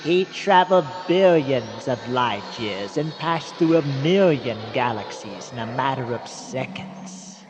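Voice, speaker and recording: Slow male storyteller in long reverb. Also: mid-range voice, expressive, music in the background. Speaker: storyteller narrator. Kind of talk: scripted radio drama narration. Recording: long reverb with noisy background ambience.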